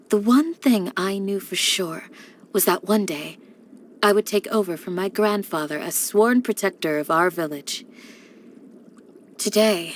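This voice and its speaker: A woman voicing a calm, devoted young village guardian in a video game, speaking in a measured, gentle and formal way. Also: lowish voice, a little hiss or hum, close to an everyday voice. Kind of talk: scripted game character voice lines.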